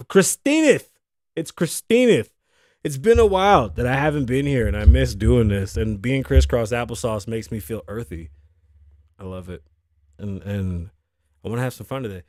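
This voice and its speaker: A man in his thirties with a hoarse voice, hosting a casual podcast monologue from a show set, loose and profane, joking and tossing asides to his crew. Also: on the high side, no echo, clean studio sound. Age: 30s. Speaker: host. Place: show set in Las Vegas.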